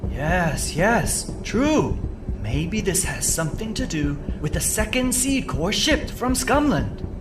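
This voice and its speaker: Game role: musing, exclamatory investigator, slow delivery, clear background noise. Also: mid-range voice, sing-song. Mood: musing, decisive. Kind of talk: video game cinematic acting.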